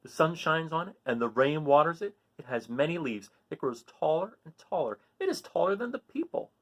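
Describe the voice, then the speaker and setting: Expressive man reading a children's storybook. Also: on the high side. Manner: dramatic, drawn-out emphasis on key words. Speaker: storybook reader reading aloud. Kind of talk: expressive children's picture book read-aloud.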